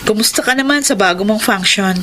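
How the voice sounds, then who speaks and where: An actress playing a friendly, caring, affectionate older sister, a young adult in a radio drama. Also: female voice, mid-range voice, fast, close to an everyday voice. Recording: noisy radio drama recording.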